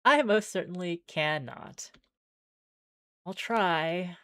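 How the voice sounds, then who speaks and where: A woman's voice: fairly low pitch, slow pace, a bit bright, smooth, dry recording. A woman streaming a visual novel, reading the text aloud theatrically with wry, exclaiming asides.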